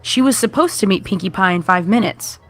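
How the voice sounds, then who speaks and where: A woman's voice: medium pitch, animated, brisk. Woman narrating a story over music. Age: 20s. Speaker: fan fiction narrator. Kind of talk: scripted horror story narration. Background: background music.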